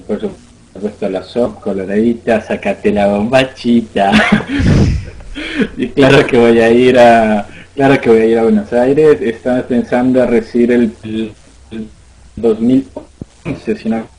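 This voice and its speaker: A man's voice: mid-range voice, a little slow, noisy background. A man speaking as a guest on an online show, laid-back and cracking crude jokes. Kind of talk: casual online radio chat.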